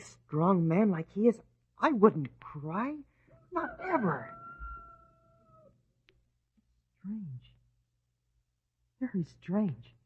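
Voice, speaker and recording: A woman's voice: sits low, slightly rough, full character voice. A wide-eyed, wondering young boy. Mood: hushed. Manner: wondering, hushed and earnest. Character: curious, innocent young boy bystander. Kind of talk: vintage radio drama acting. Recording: dull old radio recording.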